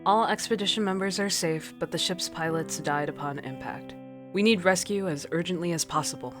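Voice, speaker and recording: A woman's voice: on the low side, fairly quick, close to an everyday voice. A woman playing a stern, commanding starship captain in a radio drama, firm and curt, with music behind her. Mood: grave, controlled. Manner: authoritative, firm.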